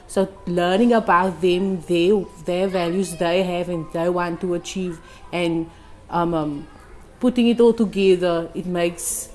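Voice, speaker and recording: Soft-spoken young woman tutor. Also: fairly low pitch. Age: 20s. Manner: soft-spoken, thoughtful, with hesitant ums. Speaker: community tutor. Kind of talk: unscripted testimonial. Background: music.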